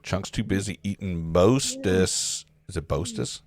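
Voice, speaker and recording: A man's voice: sits low, huge pitch swings, a little slow, darkish tone. A man reading stage directions at a live table read, informal and joking, sometimes stumbling over his lines. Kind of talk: live script reading with casual asides.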